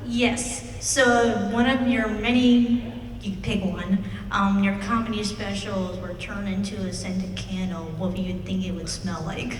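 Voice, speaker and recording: A woman from the audience asking a question tentatively, halting as she goes. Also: mid-range voice, level tone. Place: large convention hall. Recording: distant, echoey hall with noise.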